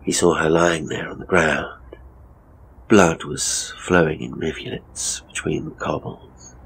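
A male actor performs a hapless British ghost tour guide in a horror audio drama. His voice is low and he takes his time, pausing dramatically with an uneasy, theatrical manner. Faint ambient noise sits behind him. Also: steady tone, creaky, full character voice, low-quality recording. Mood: grave, suspenseful. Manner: hesitant, halting, dramatic pauses. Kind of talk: dramatic first-person audio drama monologue.

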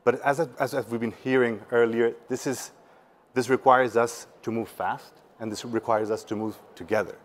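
A man's voice: mid-range voice, steady tone, normal speed. A man around forty, speaking earnestly and emphatically in a conversational conference talk. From Patagonia, Chile. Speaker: startup representative, panel speaker. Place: seated on a conference stage indoors.

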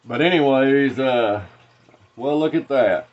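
A Southern man from Arkansas in his thirties, unboxing fishing lures at a desk in a small tackle-packed room. He drawls along very slowly, stopping often for "uh" and starting over. The webcam sound is a little dull and lo-fi. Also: medium pitch. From Arkansas, USA. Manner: slow, rambling, many "uh" fillers and restarts. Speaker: fishing channel host. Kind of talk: casual unboxing vlog.

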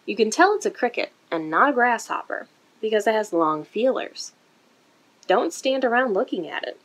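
A woman reading a children's picture book aloud in an expressive, deliberate way for young listeners, recorded indoors. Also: a little high, rough recording. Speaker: children's story-time reader for a museum. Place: indoor room.

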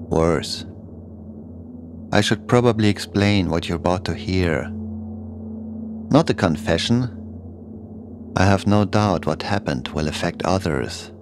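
A man's voice: sits low, noisy background. A man narrating a scripted first-person horror story as a podcast host.

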